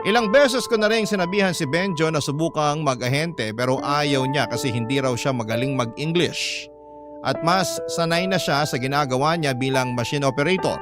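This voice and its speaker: A man reads as a discontented Filipino partner confiding in a radio drama. His voice sits slightly high, and the narration is dramatic. Music plays clearly behind it. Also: moderate pace, lightly acted. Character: discontented live-in partner confessing to a radio host. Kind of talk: dramatic first-person letter narration.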